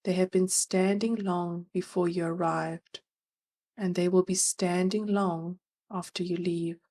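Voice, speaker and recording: A woman narrating a guided meditation as its guide, gentle and soothing, leaving long pauses between phrases. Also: on the low side, steady tone.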